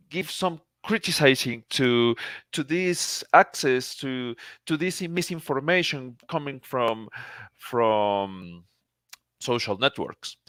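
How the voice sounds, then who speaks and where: A man's voice: mid-range voice, a bit bright, no echo, clean studio sound. A man from Colombia, a native Spanish speaker with a Colombian Spanish accent, gives an earnest, formal conference lecture as a psychiatrist, often restarting his phrases.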